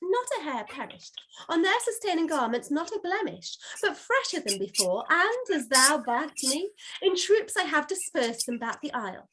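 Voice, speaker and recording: A woman's voice: sits high, big pitch swings, moderate pace, a bit bright, rough recording. A woman playing an airy servant spirit in a Shakespeare reading, declaiming verse in an eager, deferential, theatrical way. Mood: eager, proud.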